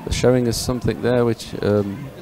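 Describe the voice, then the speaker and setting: A man giving live sports commentary in a chatty, hesitant, partly ad-libbed way with frequent pauses. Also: mid-range voice, fairly even intonation, over music, poor audio. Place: live broadcast at an outdoor regatta venue.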